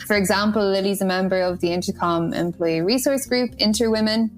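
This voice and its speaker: A woman with a mid-pitched voice co-hosts a podcast. She talks conversationally as she reports and comments, with faint music behind her. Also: fairly quick.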